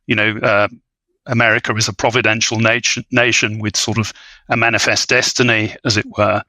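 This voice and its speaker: A man speaking as an expert guest in an academic discussion, hesitant and scholarly, often pausing to rephrase. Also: on the low side, little pitch movement, sharp tone, no echo, low-quality recording. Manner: halting, hedging, scholarly, frequent "you know". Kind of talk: academic discussion on a film podcast.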